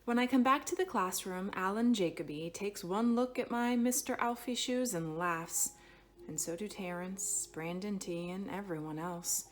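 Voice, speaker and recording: A woman reading a children's picture book aloud expressively, with dramatic phrasing that stretches some words and hushes others. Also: medium pitch, moderate pace, slight background noise. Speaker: storybook reader.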